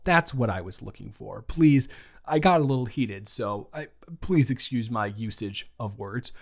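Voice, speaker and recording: A man playing a young best friend who teases while comforting. He rambles, restarts sentences and corrects himself, in an ordinary mid-range voice. An improvised audio roleplay. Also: mid-range voice. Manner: rambling, teasing, self-correcting.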